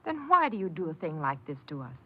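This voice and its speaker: An actress playing a stern, disappointed woman supervisor in a 1950s radio drama, with a husky voice. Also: fairly low pitch, very animated, quick pace. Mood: stern reproach. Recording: muffled 1950s radio recording.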